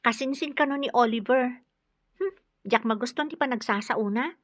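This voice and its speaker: A woman's voice: a little high, normal speed, dry recording, natural voice. A woman playing a guarded, easily offended young woman in an Ilocano radio drama. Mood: indignant. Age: young adult. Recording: dull, muffled radio drama audio.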